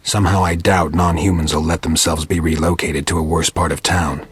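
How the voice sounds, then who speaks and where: Very deep, gravelly monster hunter. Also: male voice, fairly quick, soft background music. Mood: stoic, dry. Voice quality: gravelly, raspy. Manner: deadpan, terse. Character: gruff, stoic male monster-hunting witcher, terse and dry. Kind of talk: video game dialogue.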